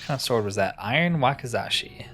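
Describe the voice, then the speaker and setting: Male let's-player, medium pitch, thinks out loud casually with wry asides, soft music underneath. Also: lively intonation, brisk. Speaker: gamer narrating his playthrough. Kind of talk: casual let's-play commentary.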